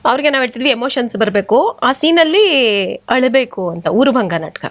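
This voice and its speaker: A woman who coaches student drama, telling an anecdote in a casual, expressive, conversational way. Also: a little high, normal speed. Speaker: guest, drama instructor.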